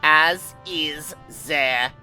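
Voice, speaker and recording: Grandiose villain, sharp and bright. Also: female voice, sits low, very slow, faint music behind, exaggerated voice. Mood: bitter, theatrical. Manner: grandiose, declaiming. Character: grandiose theatrical villain with wounded pride and dog army. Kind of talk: audio drama villain acting.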